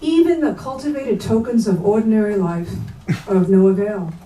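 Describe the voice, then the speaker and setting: A woman's voice: medium pitch, normal speed, mellow. A woman reading descriptive literary prose aloud at a staged reading. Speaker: reader at a staged book reading. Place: bookstore event space, indoors. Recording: roomy, distant mic, faint noise.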